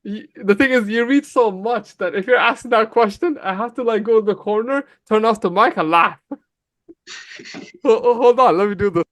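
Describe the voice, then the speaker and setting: A man raised in Canada whose first language is Somali, arguing emphatically and quickly in a heated livestream debate, often calling the other person bro. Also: sits high, a bit bright, no room sound. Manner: emphatic, fast, calls people bro. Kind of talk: heated casual argument.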